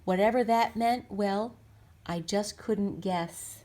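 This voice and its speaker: A woman's voice: mid-range voice, takes it slowly, warm tone, natural voice. A woman playing a stubborn male factory owner from a storybook, telling his tale in emphatic, rhythmic rhyme. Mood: regretful.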